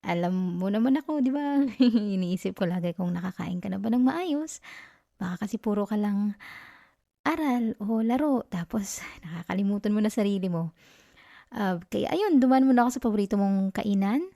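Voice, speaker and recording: A woman's voice: medium pitch, expressive, normal speed, creaky, dark tone, no echo, clean studio sound. Isang babaeng Pilipina ang gumaganap bilang batang girlfriend na estudyante sa ASMR roleplay, clingy, possessive at malambing na nanunukso at nakikiusap, may punto ng Pilipino. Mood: sweet, coaxing. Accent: Filipino. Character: clingy, possessive young student girlfriend, sweetly manipulative. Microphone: close microphone, dry sound.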